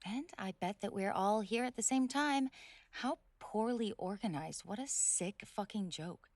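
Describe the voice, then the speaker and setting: A woman's voice: mid-range voice, expressive, a little slow, a little mellow, no echo. A woman playing a spoiled rich college bully in a roleplay audio drama, indignant, snobbish and sweary, stammering when flustered. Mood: grudging, snobbish. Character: haughty, spoiled rich college girl bully, hot-tempered tsundere. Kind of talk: scripted roleplay audio drama, one side of a dialogue.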